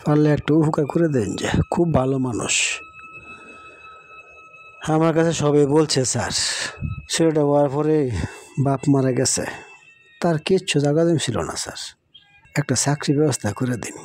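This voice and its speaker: A man's voice: on the high side, normal speed, long pauses, darkish tone, natural voice. A man with a rural Bangladeshi accent playing a kindly, deferential employee pleading for the poor in a cartoon. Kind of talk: cartoon dialogue.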